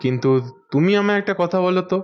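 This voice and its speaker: A man's voice: high-pitched, fast, smooth, lo-fi sound, lightly acted. A man playing a friendly, tactful detective in an audio story, conversational and questioning. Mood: probing.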